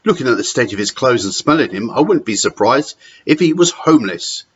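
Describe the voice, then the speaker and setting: Guy doing a mean old matron, sneery and scornful, kinda higher voice, dull recording. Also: animated, a little fast, breathy edge. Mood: harsh. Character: cruel, sneering female hospital matron.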